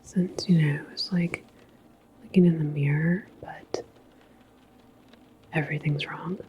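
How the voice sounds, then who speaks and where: A woman playing a calm, reassuring transit station attendant in a sci-fi ASMR roleplay, speaking in a soft, breathy whisper with a halting, chatty manner. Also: deep voice, slight background noise. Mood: confiding.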